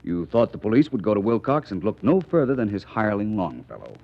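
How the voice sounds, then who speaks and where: A muffled vintage radio recording of a suave detective. His low voice moves expressively through formal, wry phrasing. He stays urbane and unflappable in classic 1940s mystery style. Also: big pitch swings, quick pace. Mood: wry, unruffled.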